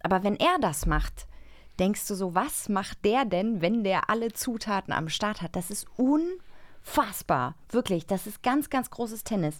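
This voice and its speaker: A woman's voice: medium pitch, expressive, a little slow, dry recording. A woman chatting casually as a co-host, with an emphatic, effusive way of talking. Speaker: podcast co-host. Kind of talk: casual chat.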